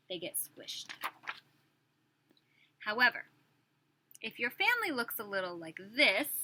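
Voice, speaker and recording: A woman's voice: mid-range voice, pushed and bright, a little hiss or hum. A woman preschool teacher reading a picture book aloud expressively, with questions and chatty asides for small children.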